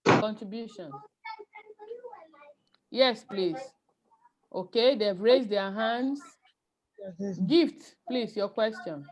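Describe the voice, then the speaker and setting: A woman's voice: on the high side, drawn-out words, pauses often. A woman from Nigeria teaching an online IELTS class, firm and instructive, calling on students and checking they understand. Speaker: IELTS tutor. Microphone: video call. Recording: muffled video call audio.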